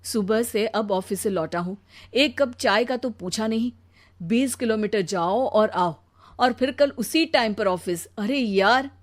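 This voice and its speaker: Woman acting a weary high-voiced cartoon husband. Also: a little slow, no room sound, lightly acted. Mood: weary grumbling. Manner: plaintive, aggrieved, pleading. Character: weary, put-upon young Indian husband. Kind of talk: Hindi cartoon story dialogue.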